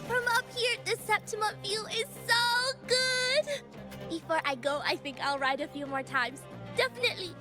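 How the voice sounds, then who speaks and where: A woman's voice: very high-pitched, moderate pace. A woman voicing a cheerful, carefree young female Taoist wanderer in a video game, breezy and musing, with an accent. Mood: bright, excited. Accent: non-standard accent. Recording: game audio over loud music.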